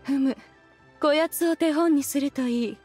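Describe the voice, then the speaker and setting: Haughty immortal lady, highish voice. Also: unhurried, warm tone, faint music behind. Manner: archaic, imperious phrasing. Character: proud, haughty ancient immortal woman, elder demanding respect. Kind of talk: anime game voice acting.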